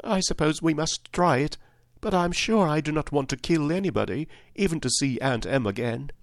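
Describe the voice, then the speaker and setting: A man plays an earnest young storybook girl. The voice is lifted well up high, gentle and plaintive, with a sweet innocence. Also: expressive, moderate pace, no echo, clean studio sound. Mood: reluctant.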